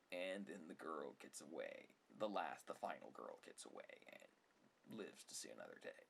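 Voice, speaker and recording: Soft-spoken ASMR host with plenty of fry, rambling and opinionated about films, slightly noisy room recording. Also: low-pitched. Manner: rambling, opinionated, mildly sarcastic. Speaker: ASMR video creator. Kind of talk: ASMR rambling film commentary.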